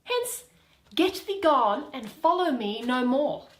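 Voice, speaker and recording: A woman's voice: sits high, sing-song, takes it slowly, echoey room, voice pushed up, full character voice, rough recording. A woman playing an exasperated young Athenian man in a dramatic Shakespeare reading, emphatic and declamatory. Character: scornful young Athenian man, exasperated, spurning a lovesick pursuer.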